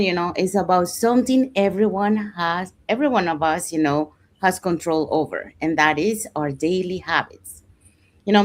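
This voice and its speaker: Woman hosting, lowish voice, chatty. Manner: casual, with many fillers like "you know" and "so". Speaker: host. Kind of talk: hosting a live talk show.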